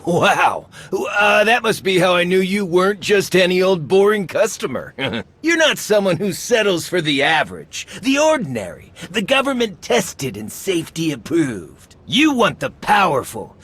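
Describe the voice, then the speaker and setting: A slick male merchant adventurer in a video game, all boastful, theatrical salesmanship. The pitch is high and swoops freely as he flatters the customer. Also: breathy edge, heavily acted. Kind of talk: comic video game acting.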